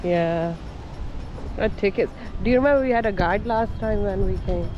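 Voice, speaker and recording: A woman's voice: mid-range voice, normal speed, dull audio, noisy background. A woman vlogging a city trip, chatting casually and slipping Hindi-Urdu phrases into her English. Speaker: travel vlogger. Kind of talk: casual travel vlog narration.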